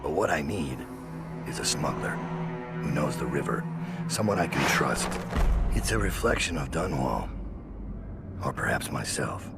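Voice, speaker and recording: A grim, weathered underworld assassin for a video game. The voice is extremely low and full of gravel, with terse, deliberate, brooding delivery. Loud music plays behind it. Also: male voice, expressive, exaggerated voice. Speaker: player character narrator.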